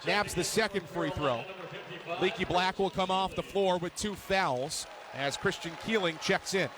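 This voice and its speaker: A young man with a high voice calls basketball play-by-play for radio. Clear arena noise and game ambience sit behind him. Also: unhurried. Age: young adult. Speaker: play-by-play announcer. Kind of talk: live radio basketball commentary.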